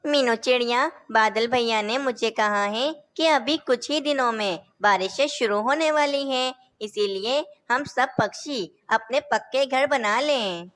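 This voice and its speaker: A woman's voice: on the high side, unhurried, few pauses, fairly bright tone. A woman voicing male cartoon birds in a children's story, speaking in a simple, declamatory way. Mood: earnest. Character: male cartoon birds: helpful parrot and lazy scheming crow. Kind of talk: Hindi animated children's story dialogue.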